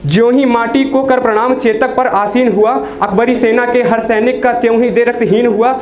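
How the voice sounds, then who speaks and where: A teenage man from India reciting poems at an open mic, declaiming each line and dropping low on a repeated refrain. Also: fairly even intonation, moderate pace. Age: teens. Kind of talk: reciting Hindi poetry. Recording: echoey room with faint noise.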